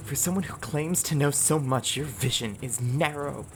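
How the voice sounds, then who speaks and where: A woman's voice: very deep voice, normal speed, slight background noise. A woman playing a defiant, imperious warrior vizier in a fantasy audio drama, speaking in terse, dramatic lines. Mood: challenging. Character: commanding, defiant female vizier of poisons, a warrior. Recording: music bed behind.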